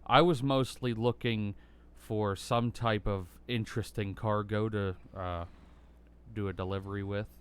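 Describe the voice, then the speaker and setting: A young American man with a bassy voice, rambling through casual commentary while playing a driving game, full of ums and likes. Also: fairly even intonation, measured pace, a little hiss or hum. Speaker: gaming YouTuber.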